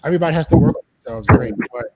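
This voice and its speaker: Man, podcast host, pushy, interrupting, higher-pitched voice, repeats himself. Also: rapid-fire, noisy background. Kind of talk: casual panel discussion.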